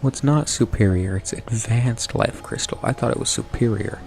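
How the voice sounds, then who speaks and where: A young man streaming a video game, talking to his chat in a soft whisper with mouth noises, his throat sore from whispering. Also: mid-range voice, normal speed, gravelly, soft background music. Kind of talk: casual gaming commentary, trying ASMR-style whispering.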